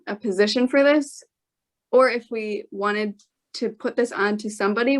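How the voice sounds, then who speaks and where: A woman speaking off the cuff as a political candidate at a forum, careful in her wording and often pausing to rephrase. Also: medium pitch. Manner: careful, hedging, pauses to reword.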